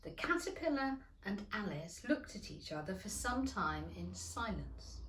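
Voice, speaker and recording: Slow, expressive woman storyteller. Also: mid-range voice, slight background noise. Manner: deliberate, expressive. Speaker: teacher reading aloud. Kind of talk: expressive children's storybook narration. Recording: roomy, echoing indoor sound.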